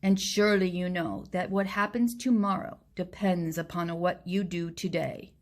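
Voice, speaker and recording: A woman voicing a solemn storybook anteater with a measured, admonishing manner. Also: fairly low pitch. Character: solemn, reproachful anteater in the rainforest.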